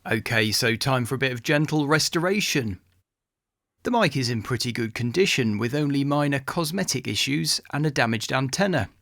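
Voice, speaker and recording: A man's voice: mid-range voice, animated, moderate pace, gravelly, fairly bright tone, no echo, studio quality. A man reading scripted voice-over narration for a restoration video.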